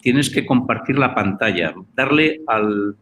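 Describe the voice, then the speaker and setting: A man's voice: mid-range voice, lively intonation, a little slow, a bit bright, slight background noise. A man moderating an online course, introducing a guest and asking him questions in a conversational way. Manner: conversational, with small restarts. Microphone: video call.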